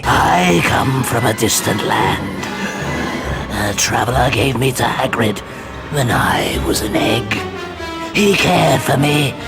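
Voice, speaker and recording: An actor playing an ancient giant male spider in a video game, grave and menacing, with a gravelly, halting, ominous delivery. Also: lowish voice, full character voice. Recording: loud music under the voice.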